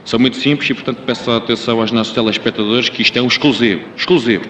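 A man's voice: mid-range voice, fairly even intonation, racing, fairly bright tone, lightly acted. A man playing a self-important TV crime pundit, pompous and emphatic, in a radio comedy serial. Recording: roomy echo, noisy background.

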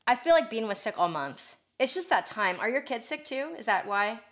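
A woman's voice: a little high, big pitch swings, moderate pace, fairly bright tone. A woman in her thirties co-hosting a podcast, chatting in an upbeat, casual way with many fillers. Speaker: podcast co-host. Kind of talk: casual chat.

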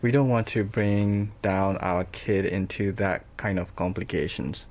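A man's voice: low voice, fairly even intonation, a little slow, a little mellow. A man from Burma with a Burmese accent, a parent answering interview questions thoughtfully, with frequent hesitations and restarts. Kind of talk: conversational interview.

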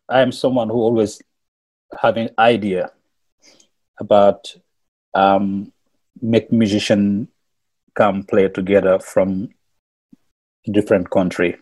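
A man from Senegal with a Senegalese accent, whose first language is Wolof, telling stories as a musician guest in a casual interview, halting and repeating words. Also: lowish voice, steady tone, a little mellow, husky.

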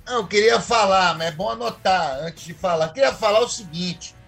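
A man's voice: high voice, moderate pace, vocal fry, fairly bright tone, husky, faint music behind. A man with a Brazilian accent, giving mock-solemn, emphatic and repetitive football commentary as a podcast panelist.

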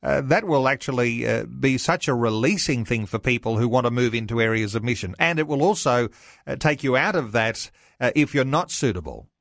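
Male interviewer, medium pitch, dry studio recording, measured reflective radio delivery. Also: lively intonation, vocal fry, a bit bright, dry recording.

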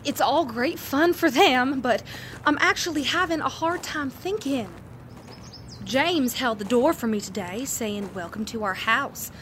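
A woman's voice: sits high, unhurried, fairly bright tone, a little hiss or hum. A woman playing an earnest, unsure eighteen-year-old girl in a 1930s audio drama. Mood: fretful, unsure. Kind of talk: scripted audio drama dialogue.

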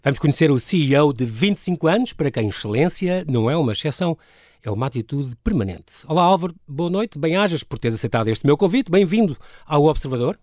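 Man, European Portuguese accent, radio host, chatty, quick, dry studio mic. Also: fairly high pitch, animated, darkish tone, no echo. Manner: chatty, laughs often. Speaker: interviewer host. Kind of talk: radio interview.

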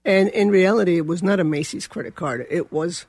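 An older woman with a Colombian accent, speaking as a guest. Her voice is gravelly and a little husky, and she wanders through her story with plenty of fillers. The recording is close and dry. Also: fairly low pitch, brisk, darkish tone, no echo. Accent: Colombian Spanish. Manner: rambling, many fillers and restarts. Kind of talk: casual interview.

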